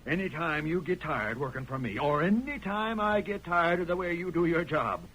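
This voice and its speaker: An actor playing a crooked, suspicious town boss in a radio western, with threatening, folksy talk and an American Western accent. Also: male voice, fairly high pitch, lively intonation, moderate pace, fairly bright tone. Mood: menacing. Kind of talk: 1940s radio western acting. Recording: noisy old radio recording.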